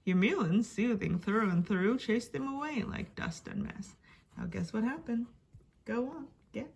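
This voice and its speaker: Woman storyteller, high-pitched, slightly creaky, dramatic rhyming children's reading, expressive, faint noise behind. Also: a little slow.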